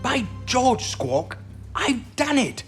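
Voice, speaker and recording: An actor playing an eccentric, ailing older British codebreaker in an audio drama, halting and exclamatory, with a fondness for whimsy. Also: male voice, very high-pitched, sing-song, soft background music, heavily acted. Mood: excited, whimsical.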